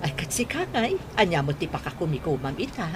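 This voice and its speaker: A woman's voice: fairly low pitch, sing-song, brisk, noisy background. A woman playing a sociable older village schoolteacher, chatty and exclamatory in an audio drama. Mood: friendly surprise. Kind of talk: Philippine audio drama acting.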